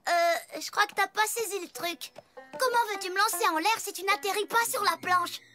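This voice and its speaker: A sporty young cartoon flying pony. The voice is very high, brash and impatient, speaking in a slangy way over clear music. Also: female voice, normal speed.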